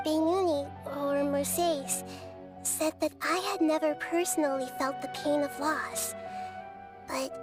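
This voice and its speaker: A small girl deity from a video game, with a high, childlike voice. She speaks gently and thoughtfully, in measured, articulate phrases full of earnest wisdom. Music plays clearly behind her. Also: fairly even intonation. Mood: reflective, gentle.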